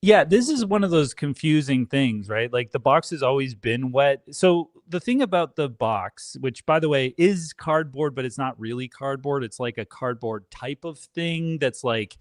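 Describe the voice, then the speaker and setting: A man's voice: mid-range voice, expressive, normal speed, few pauses, no room sound, studio quality. A man chatting casually as a guest, with a tongue-in-cheek, mock-defensive comic manner. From Canada. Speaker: guest on a live stream.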